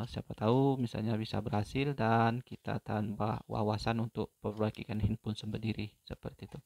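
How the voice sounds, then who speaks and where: A man giving an unhurried, step-by-step phone repair tutorial, pausing often with fillers. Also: lowish voice, creaky, a little mellow.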